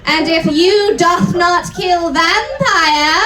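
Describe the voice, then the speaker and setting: A woman voicing a menacing male dark wizard who bellows mock-archaic commands in a comic dramatic reading. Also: very high-pitched, drawn-out words, voice pushed up, full character voice. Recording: roomy live stage sound.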